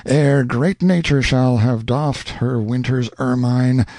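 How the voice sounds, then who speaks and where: A jealous rival hisses vengeful oaths in grand melodramatic style, as a man puts him on. His voice is dark and low-lit, dry and close to the mic. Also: steady tone, slow, dry recording. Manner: hissing melodramatic villainy. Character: scheming jealous male rival.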